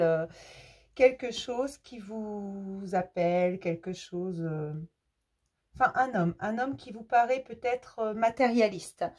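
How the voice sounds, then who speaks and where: A French woman with a cold, doing a casual tarot card reading for her viewers, gentle and hesitant, with many fillers and restarts. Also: a little high. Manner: affectionate toward viewers. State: has a cold.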